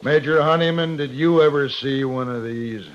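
A man's voice: medium pitch, moderate pace, lightly acted. Muffled old-radio American cavalry captain played by a man. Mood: restrained, formal. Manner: terse, military, controlled. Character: firm, sombre US cavalry captain, terse and steady. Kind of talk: 1950s radio western acting. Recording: muffled noisy 1950s radio recording.

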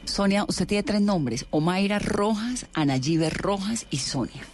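A woman interviewer asking short, brisk, prompting questions. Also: medium pitch, lively intonation, a little mellow, slight background noise.

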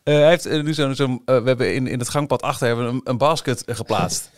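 A man's voice: mid-range voice, fast talker, dry recording. A Dutch man co-hosting a podcast, chatting casually with friends in a jokey way. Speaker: podcast co-host. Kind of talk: casual chat among friends.

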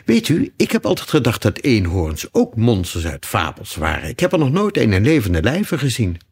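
A man's voice: medium pitch, wildly swooping pitch, normal speed, a little mellow, breathy edge, voice pushed up, full character voice. A man voicing a polite, curious young girl in a storybook, speaking earnestly. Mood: earnest, mildly exasperated.

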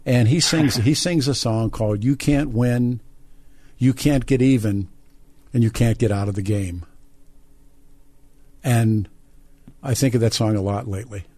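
A man's voice: mid-range voice, fairly even intonation, a little slow, pauses often, mellow, no room sound. A man hosting a radio call-in show, talking casually and repeating phrases for emphasis. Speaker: radio talk show host.

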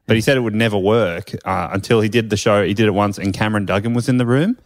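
A man's voice: lowish voice, fast talker, no echo, clean studio sound. A man co-hosting a comedy podcast over a video call, firing off crude, teasing one-liners. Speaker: podcast co-host.

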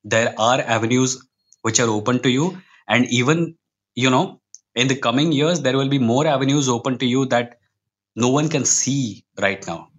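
A man's voice: mid-range voice, moderate pace, lo-fi sound. A man with an Indian accent speaking as a podcast guest in casual, opinionated conversation, with a wry, emphatic manner that tends to digress. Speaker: podcast guest, comic book writer.

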